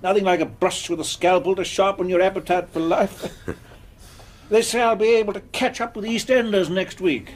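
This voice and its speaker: An actor playing a cheerful, chatty British hospital patient in a radio drama. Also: male voice, sits high, steady tone, normal speed, a little hiss or hum, close to an everyday voice. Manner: chirpy, chatty.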